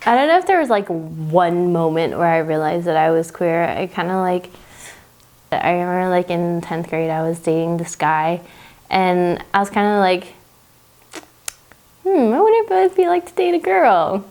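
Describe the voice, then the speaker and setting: A young woman with a somewhat low-pitched voice talks casually and chattily. She sounds a little self-conscious about being on camera. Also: expressive, moderate pace, a little mellow. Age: young adult. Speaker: college student. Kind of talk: documentary interview.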